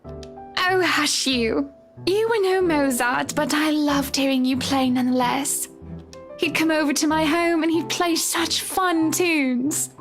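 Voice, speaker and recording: A woman's voice: high-pitched, slow pace, soft background music. A woman playing a chatty, self-assured wealthy wife in a dramatic visual novel, with a breezy, assertive manner. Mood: fond teasing. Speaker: game character.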